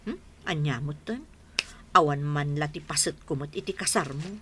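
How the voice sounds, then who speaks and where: A woman's voice: low voice, animated, moderate pace, a bit bright, slight background noise. A woman acting in an Ilocano radio drama as a chatty, boastful friend, exclaiming expressively with an Ilocano Filipino accent. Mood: indignant, boasting.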